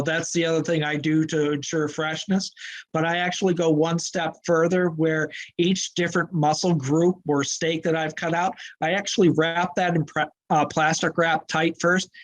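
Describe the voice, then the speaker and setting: A man with a fairly high voice, chatting as a guest about hunting. He is easygoing and relaxed. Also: low-quality recording. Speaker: guest hunter. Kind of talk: casual chat.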